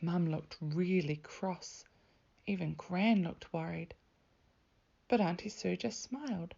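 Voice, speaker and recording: A woman reading a children's storybook aloud as a teacher, with expressive narration. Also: fairly low pitch, slow pace, vocal fry, dull audio.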